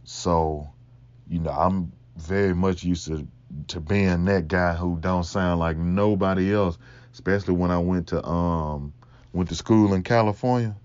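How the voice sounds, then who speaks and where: A young man with a Mississippi accent, hosting a casual solo Q&A, talking slowly in a laid-back, mumbling way. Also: fairly even intonation, a little mellow, no room sound, dull audio. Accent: Mississippi Southern US. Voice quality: deep voice. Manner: slow, laid-back, mumbling, laughs often. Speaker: podcast host.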